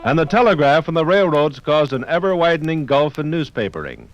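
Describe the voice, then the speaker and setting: An American man reading scripted narration for a 1950s radio documentary in a measured, formal manner. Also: fairly high pitch, sing-song, no room sound, dull audio, soft background music.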